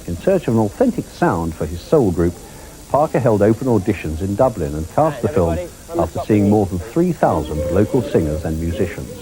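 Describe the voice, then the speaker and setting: A man's voice: on the low side, sing-song, fairly quick, low-quality recording. A man presenting a film review programme in a wry, arch scripted manner.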